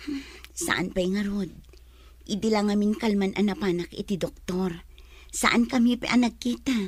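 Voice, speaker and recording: An actress playing an earnest, soft-spoken young pregnant woman in a radio drama. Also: medium pitch, normal speed, husky, no room sound, lightly acted. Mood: confiding.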